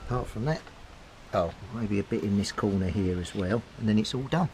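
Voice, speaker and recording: A man talks through a practical job in a fairly deep voice. He sounds casual and matter-of-fact, explaining as he works. The recording is very noisy, with clicking and rustling behind him. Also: brisk. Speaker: DIY video maker. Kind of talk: casual hands-on demonstration, talking to camera while working.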